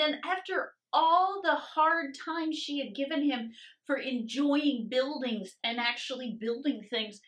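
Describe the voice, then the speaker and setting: A woman art teacher reading a storybook aloud to young children in an expressive, theatrical, emphatic way. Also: sits high.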